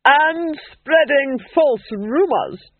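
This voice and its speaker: A man's voice: very high voice, animated, very slow, dry recording, narrow, tinny audio, voice pushed up, exaggerated voice. A man voicing a cold, cruel Duchess in a storybook reading, commanding and scornful. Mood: coldly menacing.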